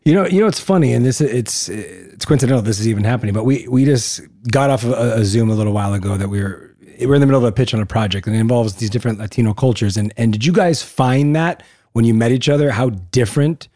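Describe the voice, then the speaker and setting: A man from Los Angeles hosts an interview, talking quickly and chattily with enthusiasm. His voice sounds dry and close, with no room around it. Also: medium pitch, warm tone, dry recording. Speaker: host. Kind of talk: conversational interview.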